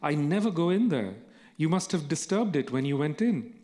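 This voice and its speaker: A man voicing a wary, secretive teenage caretaker from the countryside, speaking in a hushed, earnest way. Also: a little high, expressive, normal speed, natural voice.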